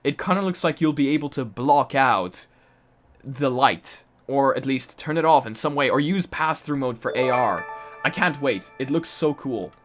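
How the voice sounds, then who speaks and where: A man's voice: mid-range voice, moderate pace, fairly bright tone, soft background music. A young man from Poland chatting casually on a live stream, reading chat aloud and laughing often.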